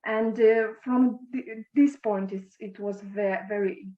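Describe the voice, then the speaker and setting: Slow, halting young woman, native Russian speaker. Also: medium pitch, steady tone. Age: young adult. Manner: halting, with frequent restarts and stammers. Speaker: interview guest, former intern. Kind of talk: video call interview. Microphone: video call. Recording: echoey, dull lo-fi video call.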